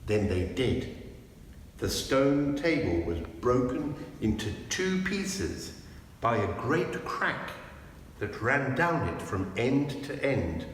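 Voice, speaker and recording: A man's voice: mid-range voice, sing-song, slow pace. A man narrates a storybook aloud with expression. He takes his time, and his voice carries a heavy echo and long reverb inside a church, with faint noise behind. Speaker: clergyman reading a storybook aloud.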